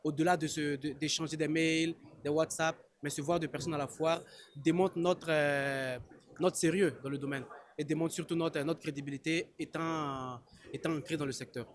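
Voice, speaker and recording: A man from Côte d'Ivoire talks through his answers slowly, stopping and restarting as he goes. His voice sits a little high for a man. He speaks at a busy trade fair. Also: steady tone. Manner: hesitant, restarts often, laughs easily. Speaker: exporter, interview guest. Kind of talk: casual spoken interview.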